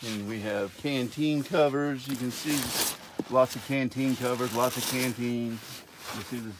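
A middle-aged man walking through a large warehouse, casually listing stock item by item, often repeating himself, recorded on a nearby phone camera. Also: medium pitch. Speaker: warehouse tour guide. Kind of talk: walkthrough listing inventory. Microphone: handheld phone camera nearby. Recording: noisy warehouse phone video.

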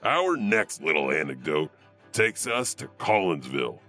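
A man's voice: very deep voice, big pitch swings, unhurried, sharp tone, heavily acted. A man playing a sinister, theatrical storyteller who narrates urban legends for a horror anthology. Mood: macabre relish. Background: music.

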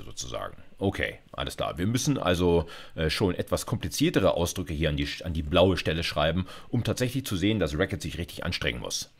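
A man in his fifties with a very low voice and lively, swinging intonation. He lectures informally like a university teacher coding live, speaking conversationally and checking in with listeners often. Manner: conversational, with frequent "okay" and "ja" check-ins. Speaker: university computer science lecturer. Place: indoors, recorded at a computer desk.

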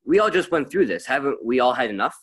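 A young man playing a stiff, indignant, formal gentleman in a radio play. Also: mid-range voice, very animated, fast talker, slightly rough.

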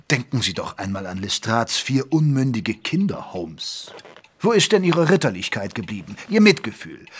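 Audio drama narrator: wry gentleman doctor, medium pitch, measured and literary. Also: husky. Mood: dryly amused. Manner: measured, literary, dryly amused. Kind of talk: scripted first-person narration in German audio drama.

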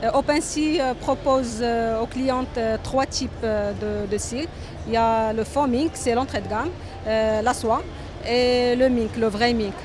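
A woman's voice: fairly high pitch, a little slow. A woman in her twenties presenting products in an interview, speaking in short, hesitant phrases. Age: 20s. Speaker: company representative. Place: exhibition booth in a trade fair hall. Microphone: handheld interview microphone. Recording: clear trade fair background noise.

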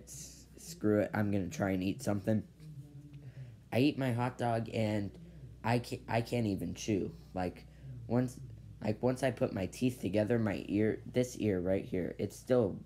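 Teenage boy, casual vlog storytelling, rambling restarts, low mellow voice, faint room hum, bedroom camera mic. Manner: rambling, restarts, many "basically"s. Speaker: vlogger. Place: bedroom with ceiling fan, indoors. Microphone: device camera at low angle.

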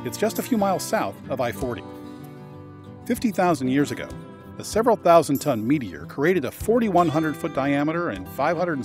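Guy narrating a travel vid, kinda high voice that moves around a lot, guitar music playing behind. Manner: informative, measured tour-guide delivery. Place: voice-over, not on location.

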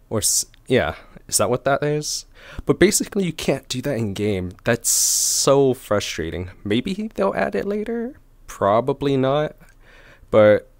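A man's voice: fairly low pitch, no room sound. A man giving casual reaction commentary, musing aloud in a conversational way. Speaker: reaction video host. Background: faint music from the trailer.

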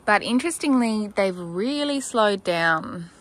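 A woman's voice: mid-range voice, expressive, a little slow, a bit bright. A woman in her thirties with an Australian accent, chatting casually with fillers and small laughs as she walks through her backyard vegetable garden, filming on a handheld phone. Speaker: gardening vlogger.

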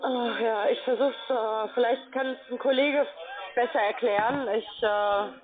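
A woman working as a call centre agent, talking a customer through steps on the phone in a halting, flustered way. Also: on the high side, steady tone, over music. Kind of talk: phone sales support call. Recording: narrow, tinny phone line.

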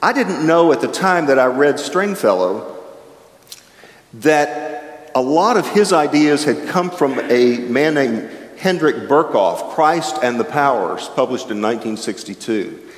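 A man's voice: mid-range voice, measured pace. An older man giving a reflective chapel sermon at a lectern, gesturing widely as he talks. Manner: reflective, animated with wide gestures, shifting pace. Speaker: chapel speaker. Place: large chapel with an audience. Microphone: stand microphone at a lectern. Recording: echoey chapel heard from far off.

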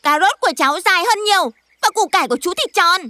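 A woman's voice: extremely high pitch, lively intonation, fast talker, background noise. A woman dubbing an aggrieved little animal child in a children's cartoon, with a whining, protesting delivery. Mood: indignant.

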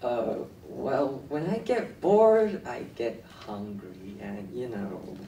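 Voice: mid-range voice, takes it slowly, warm tone, a little hiss or hum. A teenage student playing a lazy, whiny, sarcastic, snack-loving kid hiker in a school radio drama on an echoey stage. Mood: sheepish. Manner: sarcastic, grumbling. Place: indoor theatre stage. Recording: echoey stage hall, distant microphone.

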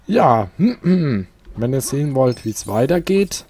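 Laid-back man with a mellow voice. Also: mid-range voice, sing-song, takes it slowly. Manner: chatty, easygoing, mutters while playing. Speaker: let's player. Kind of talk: casual gameplay commentary.

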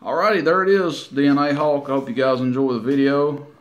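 A man's voice: mid-range voice, steady tone, muffled recording. Folksy man, casual demo. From Tennessee, United States. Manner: folksy, unhurried, informal. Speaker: CB radio hobbyist presenting his equipment. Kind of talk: casual hands-on demo narration. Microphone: handheld camera mic, operator behind it.

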